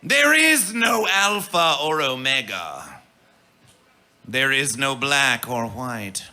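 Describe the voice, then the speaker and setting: A man working as a festival emcee, declaiming grandiose mock-prophetic hype from the stage in a drawn-out, theatrical way. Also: mid-range voice, big pitch swings, pushed and bright.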